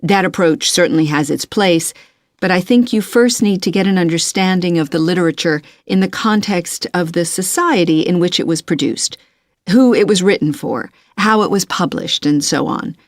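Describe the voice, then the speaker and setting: A woman playing a courteous, methodical university tutor in a scripted listening-test dialogue, measured and encouraging in her advice. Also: on the low side, dry recording, studio quality, lightly acted. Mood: courteous, advisory.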